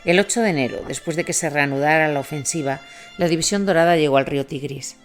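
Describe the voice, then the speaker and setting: A man's voice: on the high side, fast. A man narrating scripted war reportage in a read, journalistic style. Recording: music bed under voice.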